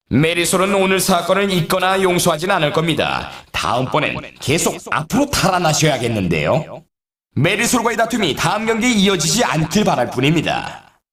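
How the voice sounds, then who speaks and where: An excitable snowboarding announcer for a video game. His pitch swings wildly as he delivers polite, dramatic scripted commentary. The sound is echoey and set back from the microphone. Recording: roomy echo, distant from mic.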